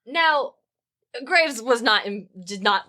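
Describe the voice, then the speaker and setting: A woman's voice: a little high, expressive, slow, bright, forceful tone. A woman co-hosting a podcast, explaining research in a casual, wry, opinionated and informal way. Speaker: podcast co-host.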